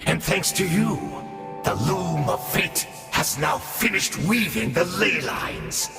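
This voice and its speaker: An actor playing a grandiose, scheming centuries-old villain in a video game, with theatrical, mock-courteous delivery and a gravelly voice. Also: male voice, high voice, big pitch swings, exaggerated voice. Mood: triumphant, fanatical. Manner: theatrical, measured, mock-courteous, swelling into fervour. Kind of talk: dramatic video game voice acting. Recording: echoey hall reverb under music. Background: game score music.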